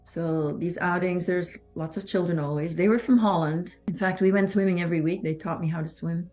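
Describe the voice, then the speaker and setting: Soft-spoken woman, low voice. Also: fairly even intonation, soft background music. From Belgium. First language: French. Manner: measured, halting, with pauses and restarts. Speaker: survivor giving testimony. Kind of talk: personal testimony interview.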